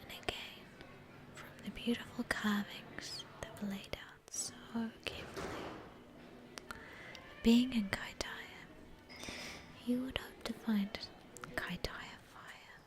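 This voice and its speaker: A woman with a New Zealand accent, whispering a gentle, soothing ASMR travel narration at an unhurried pace. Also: on the high side, fairly even intonation.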